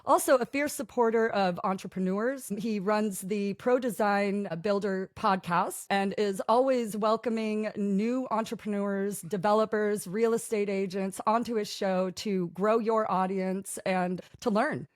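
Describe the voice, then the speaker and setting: A woman's voice: medium pitch, steady tone, a little slow, few pauses, no echo, studio quality. A woman hosting a podcast interview, speaking in an upbeat, earnest way. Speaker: podcast host.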